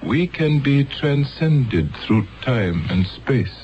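An actor playing a grave, fatherly elder of a peaceful future world in solemn, deliberate 1970s radio drama style. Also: mid-range voice, sing-song. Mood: urgent, insistent. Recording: dull 1970s radio broadcast recording.

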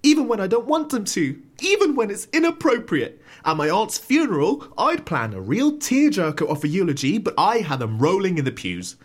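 An actor playing a dejected, anxious famous comedian, hesitant and full of self-doubt, in a comedy audio drama. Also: male voice, high-pitched, very animated, normal speed, few pauses. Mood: wistful, confiding. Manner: hesitant, sheepish.

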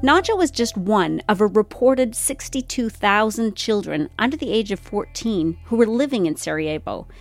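Lady host narrating a radio doc, calm and steady, mid voice. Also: medium pitch, moderate pace, few pauses, soft background music. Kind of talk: scripted documentary narration.

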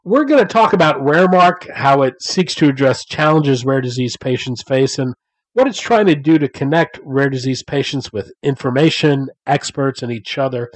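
A man hosting a podcast, reading a scripted introduction and asking interview questions in a measured way. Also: on the high side, no room sound, low-quality recording. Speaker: podcast host.

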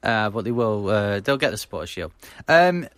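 A man's voice: lowish voice, sing-song, moderate pace, a bit bright, no room sound. A British man hosting a casual sports podcast, talking with frequent ums and restarts. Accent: British English. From Britain. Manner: hesitant, many ums and restarts. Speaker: podcast host.

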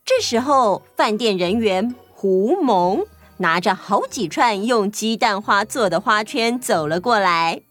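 语调生动起伏的女性儿童故事旁白. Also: female voice, medium pitch, animated, measured pace, fairly bright tone. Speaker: children's story narrator. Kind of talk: scripted children's story narration. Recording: music behind the voice.

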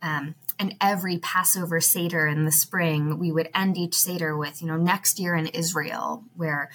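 A woman's voice: fairly low pitch, fairly even intonation, moderate pace, a bit bright. A woman, speaking as a podcast guest, thoughtful, with frequent fillers. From United States. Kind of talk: podcast interview.

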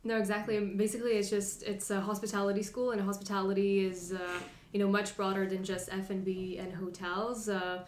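A woman's voice: medium pitch, little pitch movement, a little fast, few pauses, a little mellow, roomy sound, a little hiss or hum. Young woman co-hosting a podcast. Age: 20s. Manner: friendly, loose with fillers. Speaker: podcast co-host. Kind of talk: casual podcast interview.

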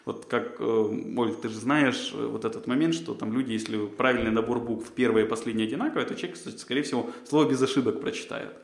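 A man's voice: medium pitch, fast talker, gravelly, few pauses, roomy sound. A man, a podcast co-host, answering interview questions in a rambling way, with many fillers and restarts. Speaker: job candidate, podcast co-host.

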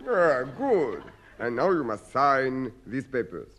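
An actor playing a stern French Foreign Legion officer in a radio comedy, with a French accent and clipped, formal military delivery. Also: male voice, mid-range voice, very animated, slow, fairly bright tone, full character voice. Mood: formal, polite. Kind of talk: 1950s radio comedy acting. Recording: noisy old radio comedy recording.

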